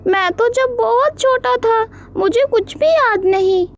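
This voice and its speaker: Lady doing a little boy crow, cartoon baby bird, really high, innocent and pleading. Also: a little slow. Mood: confused. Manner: childlike, pleading. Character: young male crow chick, innocent and confused. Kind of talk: animated moral story dialogue.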